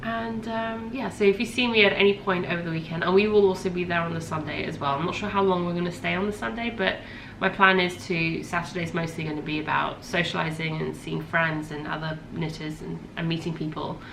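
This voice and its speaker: A woman's voice: on the low side, steady tone, brisk, gravelly, few pauses, fairly bright tone. Chatty congested woman, echoey room. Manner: rambling, chatty, with many ums and self-corrections. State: congested from a cold. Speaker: podcast host. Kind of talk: casual chat. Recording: echoey room with faint ambient noise.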